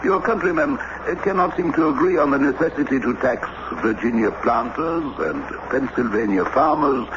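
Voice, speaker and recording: An actor playing a courtly, needling count and diplomat in a radio drama, with a smooth, insinuating manner. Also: male voice, medium pitch, animated, moderate pace, hardly any pauses, lightly acted. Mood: polite, insinuating. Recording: noisy, muffled old radio broadcast recording.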